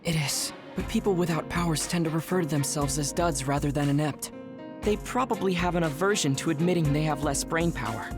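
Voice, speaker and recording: A man playing a composed, knowledgeable squad member who explains things in a measured way in a video game. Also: on the high side, over music, natural voice. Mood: calm, informative. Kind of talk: video game cutscene voice acting.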